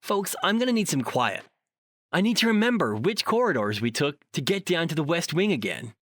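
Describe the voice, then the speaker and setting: Man acting wisecracking male ghost hunter, audio drama, bossy, flippant, highly animated pitch, dry sound. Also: a little fast, no echo. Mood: bossy, urgent. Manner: flippant, emphatic, sardonic.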